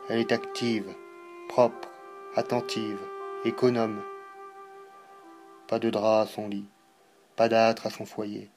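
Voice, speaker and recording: A man recites verse in a grave, declamatory way over faint music. His delivery is slow and deliberate, broken by pauses, and his pitch stays within a fairly narrow range. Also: level tone, darkish tone. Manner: solemn, declamatory, measured phrasing with pauses. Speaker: poetry reciter. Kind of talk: dramatic poetry recitation.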